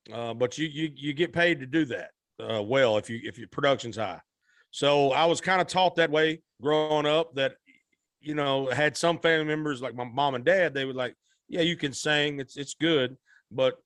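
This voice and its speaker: A man's voice: medium pitch, a bit bright, dry recording. Rambling, folksy man from Arkansas. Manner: rambling, self-correcting, folksy. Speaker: guest singer. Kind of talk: relaxed conversational storytelling.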